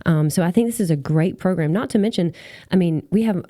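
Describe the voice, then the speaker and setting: A woman's voice: on the low side, fairly quick, mellow, dry recording, studio quality. A woman hosting a casual interview podcast, chatting along in an agreeable, conversational way. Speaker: podcast host.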